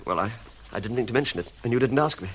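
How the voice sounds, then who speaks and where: A man's voice: medium pitch, expressive, rapid-fire, fairly bright tone, slight background noise, natural voice, rough recording. A man playing a bewildered, anxious bereaved son in an old-fashioned radio mystery, earnest and hesitant in his delivery. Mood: earnest, troubled.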